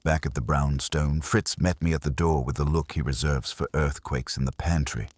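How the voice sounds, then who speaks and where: A man's voice: very deep voice, fairly even intonation, normal speed. A man narrating a first-person detective story in a wry, hard-boiled manner. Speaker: audiobook narrator.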